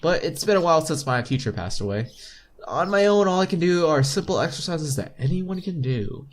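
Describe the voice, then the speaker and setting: A man's voice: a little high, lively intonation, a little fast. A young man hosting a casual visual novel playthrough, reading the text aloud with chatty asides and some stumbles. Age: young adult. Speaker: gameplay video host.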